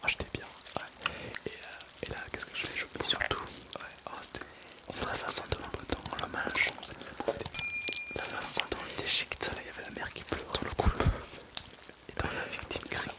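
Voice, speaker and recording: A man's voice: normal speed, long pauses. Whispering young man playing a gleeful ghoul. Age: 20s. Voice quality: breathy whisper. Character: gleefully gruesome brain-eating male zombie and psychopath. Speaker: ASMR roleplay performer. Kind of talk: whispered ASMR Halloween roleplay.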